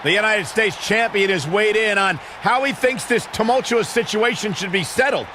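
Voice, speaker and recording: A man playing an excited wrestling TV commentator in a video game, projecting like a broadcast announcer. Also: sits high, moderate pace, background noise, natural voice.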